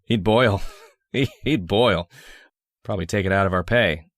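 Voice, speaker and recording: A man's voice: lowish voice, moderate pace, a bit bright, no echo, studio quality, natural voice. A man playing a lonely, jittery city-bred surveyor in a radio drama, talking in a wistful, hesitant way. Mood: resigned, apologetic.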